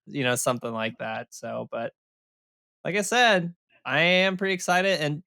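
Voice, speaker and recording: A man's voice: mid-range voice, pushed and bright, smooth, no echo. A man co-hosting a casual gaming podcast who thinks aloud hesitantly, with many fillers. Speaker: podcast co-host.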